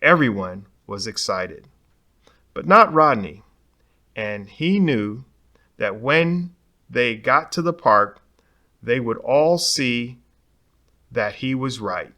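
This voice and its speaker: A man's voice: medium pitch, lively intonation, slow, long pauses, bright, forceful tone. A man reading a children's picture book aloud expressively, putting dramatic emphasis on key words. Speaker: storybook reader.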